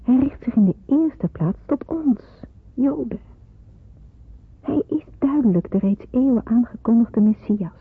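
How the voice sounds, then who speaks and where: Vurige jonge Joodse onderduikster, hese stem. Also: female voice, on the high side, lively intonation, a little slow, husky, heavily acted. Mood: anguished, wondering. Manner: earnest, emphatic, pleading delivery. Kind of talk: Dutch radio play acting. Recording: muffled narrowband old radio play.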